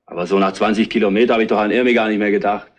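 An actor playing a defensive furniture truck driver in a retro crime radio play, speaking haltingly and colloquially. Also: male voice, fairly low pitch, flat delivery, natural voice. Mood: uneasy, recounting. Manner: halting, colloquial, plain-spoken. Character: defensive working-class furniture truck driver, a murder suspect. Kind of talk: retro German radio crime drama acting.

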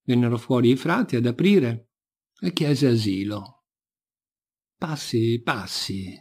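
A man narrates a folk tale in a lively, swooping storyteller's delivery. His voice is mellow and slightly husky, at a middling pitch. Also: measured pace.

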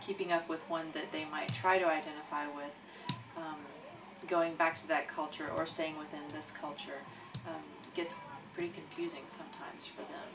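A woman on the school staff speaking in a measured, hesitant way in a documentary interview. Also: low-pitched, level tone. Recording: distant mic with background noise.

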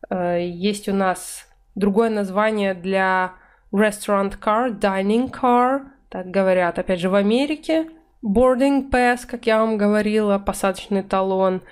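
A woman in her thirties giving an online vocabulary lesson, talking friendly and steady. She slows right down to read the example phrases aloud, then explains them at a natural pace. Her voice sits at an ordinary middle pitch for a woman. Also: clear, resonant tone. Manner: explains in Russian, reads English phrases slowly. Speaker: English teacher. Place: indoor room, webcam in corner of slides.

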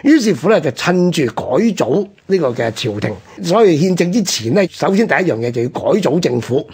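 Male history presenter, Hong Kong Cantonese. Heavily husky, quick-talking, explains in a conversational way. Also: fairly high pitch, very animated, darkish tone. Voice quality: husky, rough. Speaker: radio history programme presenter.

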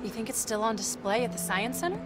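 A woman's voice: a little high, lively intonation, fast talker, lightly acted. A woman playing a teasing, clever teenage girl in a naturalistic video game scene. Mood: playful. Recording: music behind the voice.

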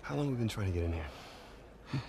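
Gravelly, roguish American adventurer. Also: male voice, on the low side, animated, normal speed, background noise. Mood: exasperated. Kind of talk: naturalistic video game acting.

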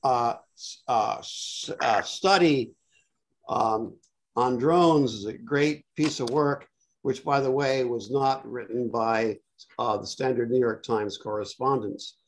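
An older man speaking bluntly and dryly, with many filled pauses, on a video call from a home study. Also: medium pitch, rough recording. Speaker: panelist. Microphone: laptop webcam on a video call.

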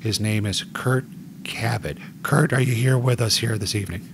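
A man in his forties with a gravelly voice, hosting a joking podcast chat. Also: on the low side, moderate pace, slight background noise. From United States. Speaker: podcast host.

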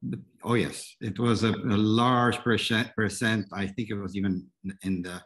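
A man in his fifties, raised in Egypt, speaks over a video call as a panel guest. His voice is lowish, and he talks haltingly, circling back and restarting as he works through his answers. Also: fairly even intonation, fairly bright tone. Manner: halting, with many restarts and fillers. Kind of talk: answering questions in an online panel. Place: indoor room at home.

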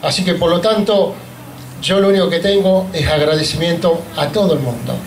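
A middle-aged Argentine man, a union leader, giving a grateful, emotional speech at an inauguration into a handheld mic in a large hall. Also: fairly high pitch. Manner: emotional, grateful, halting phrasing. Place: large event hall, indoors. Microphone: handheld microphone on a stand. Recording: echoey hall with faint noise.

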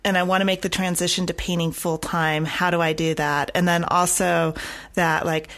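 A woman's voice: fairly low pitch, little pitch movement, moderate pace, a bit bright. A woman hosting a podcast, talking casually and conversationally as she interviews a guest.

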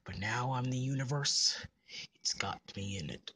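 A performer voicing a gruff, self-serious male hedgehog, sullen and curt, in a homemade character skit. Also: medium pitch, normal speed, natural voice. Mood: brooding. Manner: deadpan, curt, sullen, sometimes hushed. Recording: noticeable background noise.

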